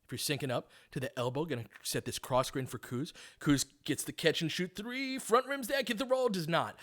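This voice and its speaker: A man's voice: fairly high pitch, fairly quick, fairly bright tone. A man giving live, informal basketball play-by-play as a partisan fan, breaking down tactics in detail as he goes. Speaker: play-by-play commentator.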